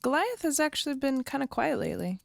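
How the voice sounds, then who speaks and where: A woman's voice: high voice, sing-song, fast, gravelly, no echo, exaggerated voice. A woman playing a wistful, uneasy young woman who lives off-grid, speaking tentatively and thoughtfully in an audio drama. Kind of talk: naturalistic audio drama dialogue.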